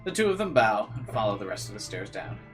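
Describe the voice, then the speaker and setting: A man's voice: on the low side, big pitch swings, fast talker, a bit bright. A man reading a visual novel aloud as a streamer, shifting between dramatic narration and slangy, playful asides. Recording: music playing behind the voice. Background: guitar game music.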